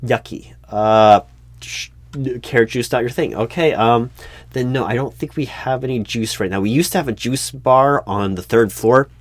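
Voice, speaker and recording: A man's voice: medium pitch, moderate pace, no room sound, natural voice. A man playing a polite, oblivious recruiting manager who stammers hesitantly and tactfully through a comedic one-sided job interview roleplay. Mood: awkward, hesitant.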